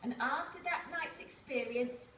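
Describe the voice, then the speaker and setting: High voiced lady reading a story to little kids, expressive, roomy sound, bit far from the mic. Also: unhurried. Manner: expressive, addresses listeners with questions. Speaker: storyteller reading to young children. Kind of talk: read-aloud storytelling with asides to children. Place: primary school room, reading aloud to children. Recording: echoey room, distant mic, faint noise.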